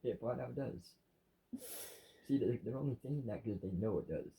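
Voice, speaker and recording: A man's voice: mid-range voice, moderate pace, gravelly, mellow, low-quality recording. A man in a casual chat about religion with his wife, plainspoken, agreeable and blunt. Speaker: vlogger's husband, devotional reader.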